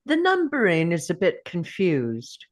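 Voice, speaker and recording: A man playing a weary, grieving time traveller who muses slowly to himself in a script table read. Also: high voice, wildly swooping pitch, heavily acted. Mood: pondering.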